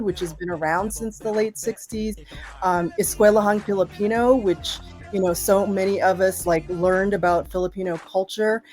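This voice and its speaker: A woman's voice: medium pitch, darkish tone. A woman college professor chatting as a guest on a live show, talking freely with lots of fillers and asking the audience questions. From Boston area, USA. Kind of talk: casual live interview chat.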